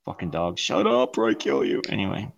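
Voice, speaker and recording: A man's voice: deep voice, fairly quick, creaky, slightly rough, highs cut off. A man co-hosting a crude comedy podcast, blunt and offhand. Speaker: podcast co-host. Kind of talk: crude casual chat.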